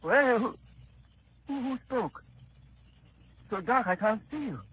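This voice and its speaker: A frail, stammering old prisoner. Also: male voice, very high-pitched, animated, takes it slowly, husky, heavily acted. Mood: weary. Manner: hesitant, stammering. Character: frail old released prisoner, weary and bitter. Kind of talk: 1930s radio drama acting. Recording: dull old radio broadcast.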